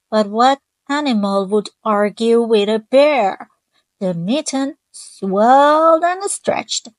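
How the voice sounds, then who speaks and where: A woman reading a children's picture book aloud, gently stopping to ask her young listeners questions. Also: fairly high pitch, dry recording, low-quality recording. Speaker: children's storyteller.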